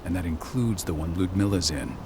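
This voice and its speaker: An actor voicing an urgent, commanding comrade who gives terse, authoritative mission briefings in a video game. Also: male voice, low voice, a little fast, background noise. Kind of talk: video game cutscene acting.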